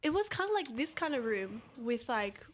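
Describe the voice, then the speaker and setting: A woman's voice: on the high side, very animated, normal speed, slight background noise. A young woman, a podcast guest, chatting casually and rambling with many fillers and restarts. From Australia. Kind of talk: casual chat.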